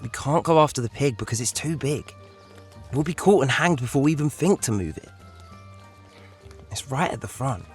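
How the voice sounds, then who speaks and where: Audio drama teen played by a man: eldest urchin and planner, husky mid-range voice, firm, instructing, music underneath. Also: medium pitch, animated, normal speed, long pauses. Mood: reasoning. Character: thirteen-year-old boy, responsible, scheming leader of urchins.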